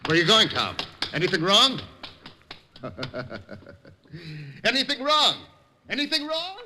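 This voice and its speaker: An actor playing a sinister, taunting rival in a 1950s radio drama, insinuating and mock-innocent with menace underneath. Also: male voice, high voice, wildly swooping pitch, a little slow, sharp tone, exaggerated voice. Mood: menacing, taunting. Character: sinister, taunting male rival who enjoys others' fear. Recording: vintage radio recording with faint noise.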